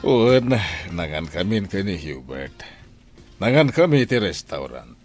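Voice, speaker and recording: A man's voice: deep voice, very animated, unhurried, soft background music, full character voice. A man with an Ilocano accent playing a blunt, disgruntled family man in a radio drama. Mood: irritated.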